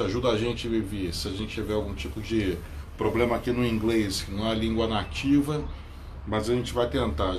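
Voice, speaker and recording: A man in his forties with a Brazilian accent, a native Portuguese speaker, hosting a casual online interview in a halting way with repetitions. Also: on the low side, a little hiss or hum. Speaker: interview host. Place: home balcony.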